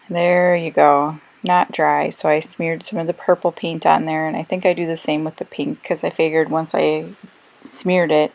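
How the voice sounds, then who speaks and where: A woman who is slightly hoarse and losing her voice, chatting casually through a crafting voice-over with lots of ums and little self-corrections. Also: low-pitched, a little mellow. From Australia. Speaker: scrapbooking crafter. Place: quiet room, recorded voice-over.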